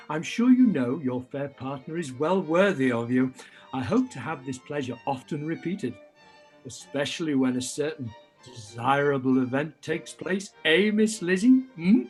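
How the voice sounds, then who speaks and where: An actor playing an effusive, jovial, meddling older gentleman in a period radio-play reading, hearty and gushing. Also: on the high side, lightly acted.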